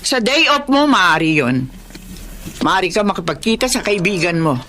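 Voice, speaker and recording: A woman's voice: mid-range voice, lively intonation, normal speed, fairly bright tone, slight background noise, natural voice. A woman with a Filipino accent playing a strict, commanding household employer in a radio drama, speaking firmly. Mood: stern, instructing. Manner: firm, instructing.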